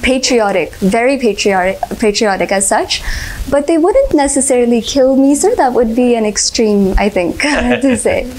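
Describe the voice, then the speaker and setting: A woman's voice: fairly high pitch, lively intonation, moderate pace, darkish tone, low-quality recording. Polite young woman, American accent. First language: Hindi. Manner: polite, says sir often, frequent uh fillers, light laughs. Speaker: interview candidate. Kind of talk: formal mock interview answers.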